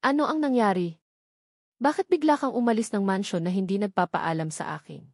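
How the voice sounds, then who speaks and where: A woman's voice: medium pitch, brisk, dry recording, close to an everyday voice. Female reader as possessive rich husband, serious and worried, romance audiobook, dry recording. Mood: stern, worried. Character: stern, worried, possessive wealthy young husband.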